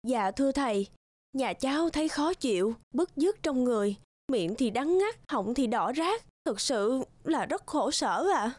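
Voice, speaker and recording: A woman voicing a suffering, respectful pregnant village wife in an animated folk tale, weakly complaining. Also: high voice, a little slow, dry recording. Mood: miserable.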